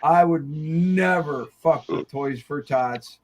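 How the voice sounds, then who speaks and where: A man's voice: a little high, slow pace, a little mellow. A man from Iowa in casual podcast chat, rambling and swearing, often starting with "I mean". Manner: profane, rambling, repeats "I mean".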